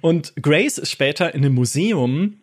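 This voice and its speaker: A man from Baden with a Baden German accent, co-hosting a podcast and telling game anecdotes in an animated way, laughing often. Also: a little high, moderate pace. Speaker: podcast co-host. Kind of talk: casual podcast chat.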